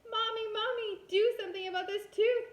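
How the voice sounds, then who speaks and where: A woman voicing a whiny little boy in a storybook, yelling and pleading for help with a painful loose tooth. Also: very high voice, fairly even intonation, fairly quick, pitched above the performer's own voice. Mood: pained, pleading. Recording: roomy echo.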